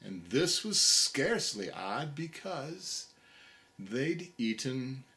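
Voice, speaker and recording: A man reciting a comic narrative poem in a dramatic, deliberate storybook manner. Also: mid-range voice, sing-song, pushed and bright.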